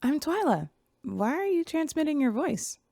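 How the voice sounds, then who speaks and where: A bright, newly born computer girl who sounds like a young teen, impulsive and wide-eyed. Her voice sits high and swings up and down as she asks eager, innocent questions. The recording is close and dry. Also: big pitch swings, normal speed, gravelly, no echo, heavily acted. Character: curious computerized girl, two weeks old, teen-like, impulsive. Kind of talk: audio drama acting.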